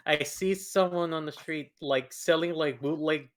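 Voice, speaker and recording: A man in his twenties tells stories in a relaxed, deadpan way during a podcast chat. His voice is somewhat high for a man. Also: a little slow. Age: 20s. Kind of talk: casual chat.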